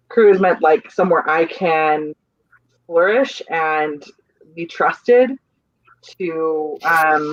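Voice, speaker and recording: A young adult stage manager speaking over a dull, lo-fi video call line in a dry, close sound. The voice sits at a middle pitch and the talk is earnest and meandering, full of restarts as the thoughts come. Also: dry recording. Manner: rambling, searching for words, earnest. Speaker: stage manager on a theater crew. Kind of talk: casual panel chat on a video call.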